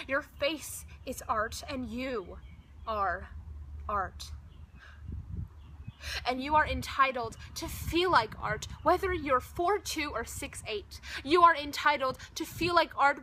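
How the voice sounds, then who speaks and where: A young woman reciting slam poetry in an impassioned, emphatic, rhythmic way with dramatic pauses. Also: sits high, pushed and bright, a little hiss or hum. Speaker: slam poet.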